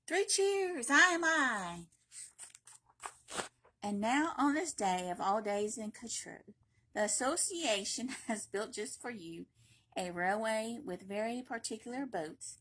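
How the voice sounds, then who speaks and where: A woman reading a children's picture book aloud in an animated, playful, emphatic way, with brief asides. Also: medium pitch, a little slow. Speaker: library read-aloud reader.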